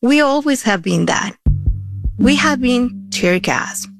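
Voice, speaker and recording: Creaky-voiced woman with thick Latin American accent. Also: on the high side, expressive, takes it slowly, music in the background. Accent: thick Spanish-speaking Latin American. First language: Spanish. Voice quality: loud. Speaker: journalist guest. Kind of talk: earnest interview answers.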